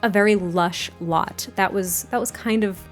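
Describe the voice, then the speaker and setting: A woman with a slightly raspy, mid-pitched voice talks casually and rambles a little, full of fillers. Soft music plays faintly underneath her voice-over. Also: moderate pace. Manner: chatty, rambling, frequent fillers and laughs. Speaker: game builder narrating a speed build. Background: soft background music.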